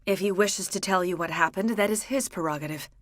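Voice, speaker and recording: A woman playing a discreet, composed character in a video game, speaking in a formal, measured way. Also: medium pitch, dry recording, studio quality, natural voice. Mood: reserved. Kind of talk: scripted game dialogue.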